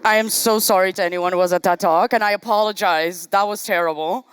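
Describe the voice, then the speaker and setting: A woman's voice: medium pitch, fairly even intonation, fairly quick, smooth, dry recording. A young woman from Portugal, giving a casual, self-deprecating live-coding talk on a conference stage. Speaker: conference speaker.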